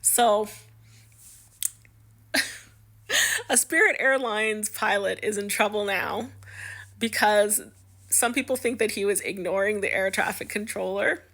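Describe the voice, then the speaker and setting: A woman's voice: mid-range voice, a little slow, pauses often, a bit bright. A woman giving casual, chatty news commentary in an amused, conversational way, as if musing aloud.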